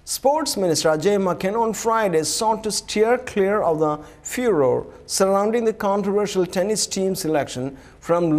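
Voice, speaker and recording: A man's voice: high-pitched, a little mellow. A man reading a scripted news bulletin in a formal announcer manner. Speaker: news reader.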